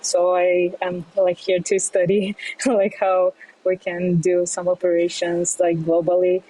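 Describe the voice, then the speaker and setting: Brazilian-accented woman, dark and flat. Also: lowish voice, measured pace, poor audio. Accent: Brazilian Portuguese. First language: Portuguese. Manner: hesitant with many fillers, laughs often. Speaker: fintech CEO guest. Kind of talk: podcast interview. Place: remote, not in studio.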